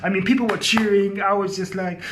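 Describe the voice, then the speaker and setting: A man's voice: high-pitched, little pitch movement, fairly quick, a bit bright, a little hiss or hum. A man giving a casual, effusive movie review to viewers, rambling and often repeating himself. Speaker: film review host.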